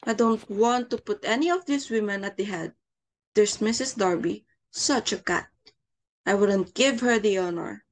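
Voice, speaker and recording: A woman's voice: medium pitch, moderate pace, natural voice. A woman playing a snobbish, domineering society mother in an amateur reading of a stage comedy, haughty and easily flustered. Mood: indignant, flustered.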